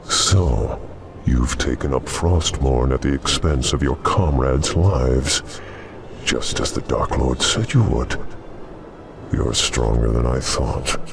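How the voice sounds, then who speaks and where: Slow, menacing demon overlord for a game cutscene, weighty and mocking, over noisy ambience. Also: male voice, vocal fry, exaggerated voice. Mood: smug taunting. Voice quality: very deep, weighty. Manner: taunting, grandiose, deliberate. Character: towering sinister demon lord, smug and menacing. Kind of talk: video game cutscene acting.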